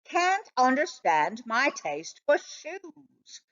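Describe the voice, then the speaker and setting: A woman reading a children's story aloud in an expressive narrating style. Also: high voice, very slow, highs cut off. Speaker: storybook reader.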